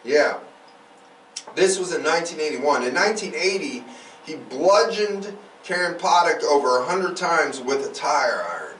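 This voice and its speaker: Male true-crime host, informal and opinionated, higher register, echoey room with faint noise. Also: expressive, normal speed, fairly bright tone. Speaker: podcast host. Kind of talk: true-crime storytelling with casual commentary. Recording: roomy, distant mic, faint noise.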